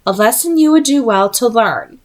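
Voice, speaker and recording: A woman reader puts on a highborn vampire woman from a novel. She is haughty and scornful, with formal, imperious phrasing and a cool sense of her own rank. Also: expressive, moderate pace, natural voice.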